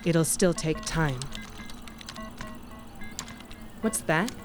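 A woman playing a measured, eerily detached scientist in an audio drama, speaking deliberately. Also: low-pitched, soft background music. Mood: cautious.

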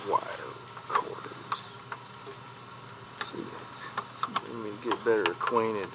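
An actor playing a wry 1930s travel columnist, musing aloud in an audio drama. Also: male voice, lowish voice, very noisy. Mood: muttering.

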